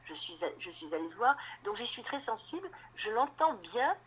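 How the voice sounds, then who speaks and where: Halting woman novelist in an interview. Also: fairly high pitch, very animated, dull audio, slight background noise. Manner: halting, repeats words while searching for them. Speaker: novelist guest. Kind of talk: radio interview conversation.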